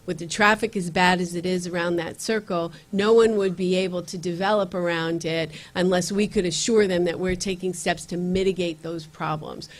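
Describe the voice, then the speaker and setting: A woman with a slightly low voice speaks to a community meeting in an earnest tone. She pauses on ums and uhs and restarts her sentences. The sound is a plain meeting-room recording. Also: steady tone. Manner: earnest, with frequent fillers and restarts. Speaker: county legislator. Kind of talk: campaign speech at community meeting.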